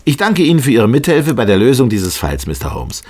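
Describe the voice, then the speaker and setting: An actor in a German audio drama playing a self-important, officious Scotland Yard inspector with a raspy voice. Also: male voice, medium pitch, big pitch swings, brisk, darkish tone. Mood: smug, officious. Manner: brusque, officious questioning.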